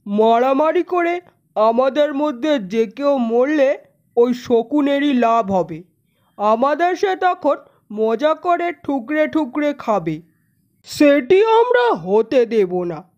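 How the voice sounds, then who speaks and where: A woman voicing a male lion king, measured and brotherly as he reasons, in a cartoon fable with slightly dull sound. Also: sits high, big pitch swings, a little mellow, exaggerated voice. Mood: conciliatory, earnest. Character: proud male lion king turned conciliatory.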